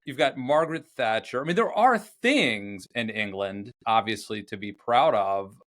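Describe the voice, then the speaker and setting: A man's voice: fairly low pitch, animated, moderate pace, fairly bright tone. Chatty male podcast host. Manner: chatty, jumps in with questions. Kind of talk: casual conversation.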